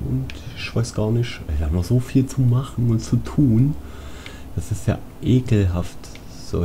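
Low-voiced man commentating a game. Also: mellow, slight background noise. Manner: muttering to himself, crude swearing, exclamations. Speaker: let's player. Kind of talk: casual video game commentary. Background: video game music.

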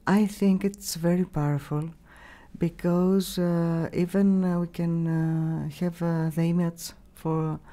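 A middle-aged woman with a Greek accent answering interview questions in a halting way, searching for words, on a studio microphone. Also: fairly low pitch, little pitch movement, warm tone. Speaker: radio station staff member, interviewee. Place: small radio studio. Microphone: broadcast mic on boom arm.

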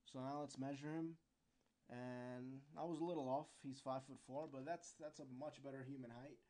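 A man giving an informal, unscripted software tutorial, explaining step by step and sometimes searching for words. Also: mid-range voice, fairly even intonation, normal speed.

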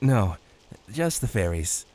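An actor playing a goofy, cheerful adventurer in a video game fan dub, playful and theatrical. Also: male voice, on the low side, sing-song, slow pace, a little mellow, no echo. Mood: wistful. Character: goofy, cheerful man fighting dragons, game hero.

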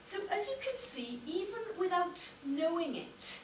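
A middle-aged woman with a Welsh accent, a native Welsh speaker, giving a measured public lecture as a university lecturer. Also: extremely high pitch, big pitch swings, recorded from a distance, a little hiss or hum. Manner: measured, lists names with careful emphasis. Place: darkened lecture hall. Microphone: far room recording. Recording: echoey hall recorded from afar.

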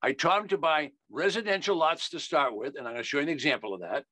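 A man's voice: fairly high pitch, fast talker, sharp tone, no echo. An older American man teaching in an emphatic, folksy sales style, repeating key phrases on a video call. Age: older, 70s. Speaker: investing educator. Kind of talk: instructional sales talk.

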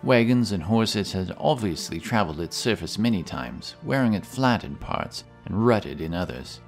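A man narrating a scripted fantasy audiobook in a measured, dramatic way. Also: lowish voice, lively intonation, creaky, soft background music.

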